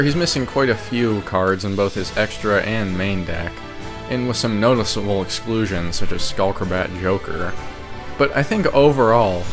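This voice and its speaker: A man giving scripted, opinionated ranking commentary in a wry way, as a video creator. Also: on the low side. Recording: music behind the voice. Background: game music.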